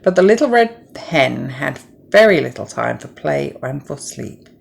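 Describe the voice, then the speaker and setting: A middle-aged woman narrating a children's story from a script in a measured, gentle way. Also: low-pitched, sing-song, gravelly.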